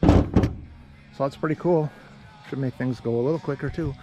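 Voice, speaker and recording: A man's voice: mid-range voice, moderate pace, lo-fi sound. Casual man narrating DIY work over music. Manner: plainspoken, offhand, thinking aloud. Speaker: builder narrating own construction project. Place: outdoor building site beside a garage.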